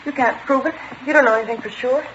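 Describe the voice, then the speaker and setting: A woman's voice: high voice, fast talker, fairly bright tone, slight background noise. An actress playing a distraught young woman in love with a robbery suspect, in 1950s radio drama style. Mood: pleading. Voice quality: emotional, pleading. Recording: muffled old radio broadcast.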